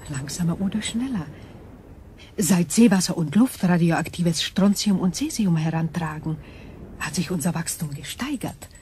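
A woman acting an ancient, calm and thoughtful alien being in a radio drama, speaking in measured, formal phrases. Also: lowish voice, a little hiss or hum, natural voice. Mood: calm, reflective.

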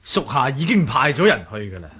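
Very raspy, loyal sect follower. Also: male voice, medium pitch, big pitch swings, fairly quick, pushed and bright, full character voice. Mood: admiring, relieved. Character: deferential male martial sect follower, admiring and loyal. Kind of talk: Cantonese radio drama acting.

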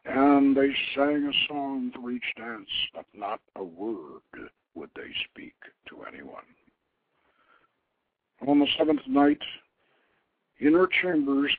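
An older man reading a tale aloud in a solemn, theatrical, measured way, as a host telling a story. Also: mid-range voice, vocal fry. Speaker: show host reading his own novelette. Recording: phone-like radio line with background noise.

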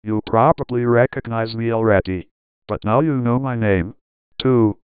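A man's voice: medium pitch, steady tone, normal speed, clean tone, dry recording. A synthetic male text-to-speech voice with a robotic sound, reading scripted narration. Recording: dull lo-fi synthesizer audio.

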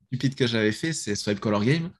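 Young man from France, casual slangy chat, headset mic, small bedroom, middle-pitched voice. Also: fairly quick, breathy edge. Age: young adult. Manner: casual, slangy, many restarts. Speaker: app founder guest. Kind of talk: casual podcast interview. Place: small bedroom with gaming chair.

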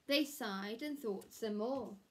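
Woman in her 30s doing story time for little kids, takes it really slow, highish voice. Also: a little mellow. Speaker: primary school teacher reading a story. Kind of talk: expressive children's picture-book reading. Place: small room at home, indoors.

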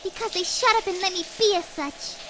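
A woman's voice: very high voice, fairly quick, noisy background. A woman voicing a cutesy, obsessive, lovestruck teenage girl in a parody anime fan dub, girlish and singsong. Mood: sweet, eager.

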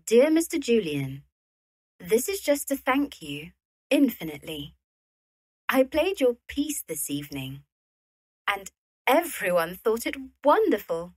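Woman acting poised young lady, slightly husky. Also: on the high side, sing-song, measured pace, long pauses, darkish tone, no room sound, studio quality, full character voice. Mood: grateful, earnest. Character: Ethelberta, poised, witty young widowed lady poet. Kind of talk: period radio drama acting.

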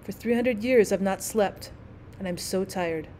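A woman voicing a lonely old male ghost in a storybook, low and dreamy, speaking in a sad, wistful way. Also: mellow, a little hiss or hum, natural voice. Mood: weary, sad. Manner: slow, mournful, wistful delivery. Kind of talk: storybook character reading.

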